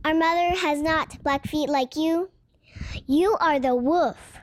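A woman's voice: high voice, takes it slowly, no echo, studio quality. A woman voicing wary, dutiful little goat kids in a storybook, childlike and earnest. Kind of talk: storybook character voice.

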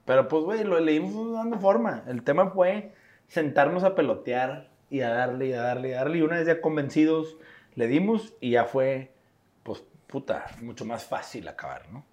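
Slangy Mexican guy, higher voice. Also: lively intonation, moderate pace, a little mellow, dull audio. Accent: Mexican Spanish. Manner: swearing, laughing, storytelling. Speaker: podcast co-host. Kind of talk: casual chat among friends. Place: studio bar set.

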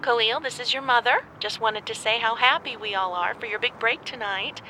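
A woman's voice: a little high, fairly quick, sharp tone, slight background noise, low-quality recording. An actress playing a proud, awkward middle-aged mother leaving an earnest, over-explaining voicemail. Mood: proud, affectionate. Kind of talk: voicemail message in audio drama.

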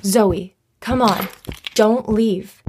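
An actress plays a reasonable young woman counselling a friend in an audio drama. Her pitch is average for a woman, and her delivery is conversational and measured, calmly placating. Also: background noise. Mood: reasoning, placating. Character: calm, reasonable young female friend giving gentle counsel. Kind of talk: naturalistic audio drama acting.

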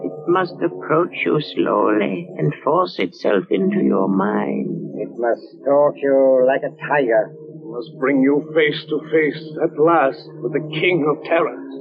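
An actor playing a menacing, tormenting voice in a prisoner's mind, ominous and declamatory in 1940s radio horror style. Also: male voice, a little high, animated, a little slow, vocal fry, over music. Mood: ominous menace. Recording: narrow, muffled old radio broadcast.

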